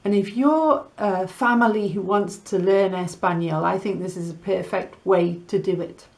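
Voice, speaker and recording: A woman reading to children and teaching in a deliberate, teacherly way, mixing Spanish words into English. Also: medium pitch, gravelly, a little mellow. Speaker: storyteller and language teacher host. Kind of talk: children's story reading and lesson chat.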